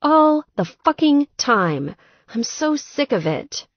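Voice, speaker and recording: Audiobook role: female reader as sweet young gamer woman, distressed and exasperated, very expressive pitch, dry close sound. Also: slow pace, dry recording, muffled recording, heavily acted. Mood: fed up. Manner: plaintive, exasperated. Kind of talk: audiobook character dialogue.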